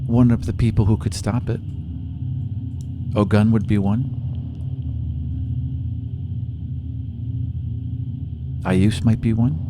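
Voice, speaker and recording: A man playing a hesitant, gentle young god visiting from the future in a tabletop roleplay, speaking in halting, apologetic phrases. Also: lowish voice, steady tone, close to an everyday voice. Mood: hesitant, hopeful. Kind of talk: improvised in-character tabletop roleplay. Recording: music playing under the voice.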